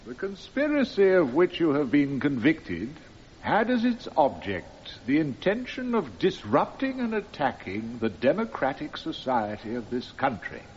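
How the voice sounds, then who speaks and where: Stern judge, radio play, formal n measured, highish voice w lots of rise and fall, bit muffled. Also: male voice, wildly swooping pitch, a little mellow. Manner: formal, deliberate, authoritative. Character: stern, measured male judge passing sentence. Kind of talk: radio drama acting. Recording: muffled radio drama recording, faint hiss.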